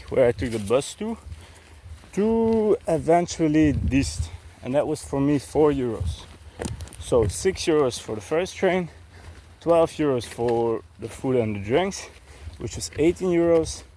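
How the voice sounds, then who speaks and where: Young man, slow casual vlog chat, outdoors on a trail, faint countryside sound behind. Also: a little high, animated, mellow, slight background noise. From Belgium. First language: Dutch. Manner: chatty and unhurried, with many fillers and restarts. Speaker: vlogger. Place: outdoors on a rural hiking trail. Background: faint outdoor ambience.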